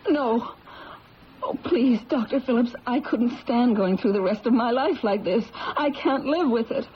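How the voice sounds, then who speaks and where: A woman's voice: a little high, lightly acted. Woman acting an anguished, intense young mother, muffled sound. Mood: desperate. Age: young adult. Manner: earnest, intense, halting. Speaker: actor. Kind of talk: radio mystery drama acting. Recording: muffled, hissy old radio broadcast.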